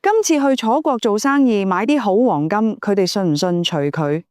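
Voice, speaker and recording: A woman's voice: mid-range voice, animated, normal speed, a little mellow, no echo, studio quality, lightly acted. A woman voicing a wary, pragmatic male warrior in an audiobook, sardonic and informal. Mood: guarded, casual.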